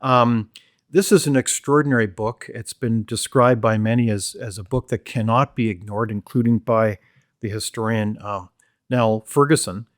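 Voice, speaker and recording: A man's voice: lowish voice, fairly even intonation, moderate pace, no echo. Formal male interview host, dry sound. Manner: formal, admiring questions with some stumbles. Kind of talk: interview hosting.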